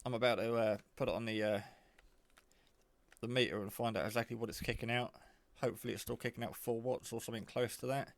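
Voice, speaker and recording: A man giving a casual, off-the-cuff product demo, rambling in a low-key way as he thinks aloud. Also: fairly even intonation, fast, vocal fry, dry recording. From England. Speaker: hobbyist reviewer.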